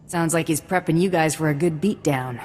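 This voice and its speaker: A woman's voice: deep voice, fairly quick, darkish tone, slight background noise, lightly acted. A woman playing a tough, cocky bounty hunter in a sci-fi game, blunt and terse. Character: tough cocky female bounty hunter, hard-nosed mercenary. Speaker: video game voice actor. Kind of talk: dramatic game cutscene dialogue.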